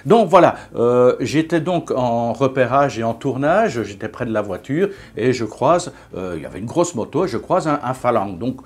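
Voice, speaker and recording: Vlogger in his fifties, chatty and halting, mid-pitched voice. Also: animated, slightly rough. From Belgium. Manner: chatty, hesitant with frequent fillers and restarts. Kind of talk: casual vlog monologue.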